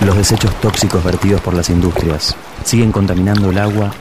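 Male announcer, Argentine accent, deep pitch, scripted appeal, music underneath. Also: fairly even intonation, fairly quick. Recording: music bed behind the voice.

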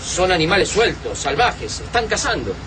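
A man's voice: high voice, big pitch swings, normal speed, far from the mic, background noise. A man with an Argentine Rioplatense accent acting a level-headed survivor of an invasion in a radio drama. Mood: grave.

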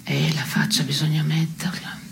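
A female stage actor playing a dry, irreverent woman who trades absurd pronouncements in terse deadpan. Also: sits low, moderate pace, vocal fry, slight background noise. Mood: wry irony. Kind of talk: two-person absurdist satirical theatre dialogue.

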